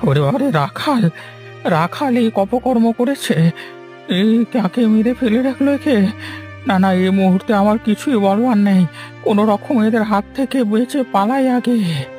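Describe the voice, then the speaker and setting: A man's voice: high voice, a little slow, a little mellow, faint music behind. A man voicing a frightened old villager in a melodramatic cartoon, stammering and wailing. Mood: panicked, pleading.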